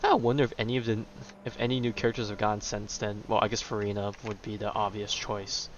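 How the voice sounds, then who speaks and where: A man's voice: fairly low pitch, flat delivery, fast, slight background noise. A man giving casual, offhand commentary as he streams a game, with wry reactions. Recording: dull, muffled lo-fi microphone. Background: game music.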